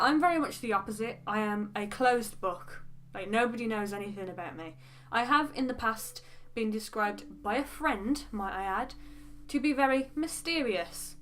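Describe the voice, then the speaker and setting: A woman's voice: a little high, a bit bright. A young woman from the English Midlands with a West Midlands accent, chatting frankly and rambling with asides in a casual get-to-know-me vlog. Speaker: beauty vlogger.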